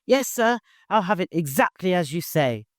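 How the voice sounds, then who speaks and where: A man's voice: high voice, very animated, moderate pace, no echo, studio quality, voice pushed up. A man voicing a chatty, proud camel keeper in an audiobook, deferential, long-winded and eager to please.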